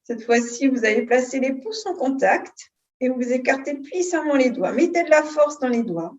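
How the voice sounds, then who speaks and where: A woman teaching yoga, giving calm, unhurried and soothing guidance on posture and breathing. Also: high-pitched, muffled recording. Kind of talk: guided yoga and breathing instruction.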